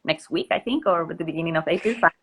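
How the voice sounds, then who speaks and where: A woman's voice: low voice, rapid-fire, fairly bright tone, slightly rough. A woman hosting an interview, asking questions and encouraging her guests with frequent short replies. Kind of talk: casual interview talk.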